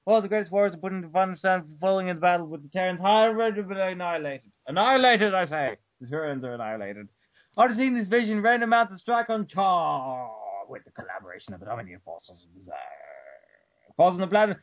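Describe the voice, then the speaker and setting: A man's voice: sits high, sing-song, fast talker, dry recording, dull audio. A man giving a mock-dramatic reading of an article, theatrical and stumbling over names with blah blah. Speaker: reader.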